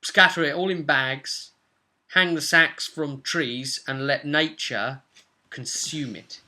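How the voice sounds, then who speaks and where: A man's voice: fairly high pitch, takes it slowly, pushed and bright. A young man, the host and game master, casually recapping a tabletop role-playing session from a room at home. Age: young adult. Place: small room at home, indoors.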